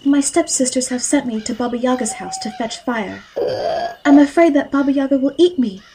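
A woman's voice: sits high, normal speed, warm tone, a little hiss or hum, low-quality recording. A woman playing a timid, polite and innocent young girl in a fairy tale audio drama, with a naive, hesitant manner.

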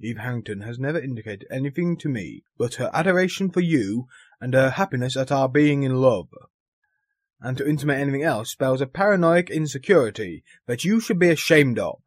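A man's voice: mid-range voice, animated, brisk, dry recording, clean studio sound, natural voice. A man playing an exasperated, witty lover in his thirties in an audio drama, articulate and emphatic. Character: exasperated, witty thirty-two-year-old lover of a stage star.

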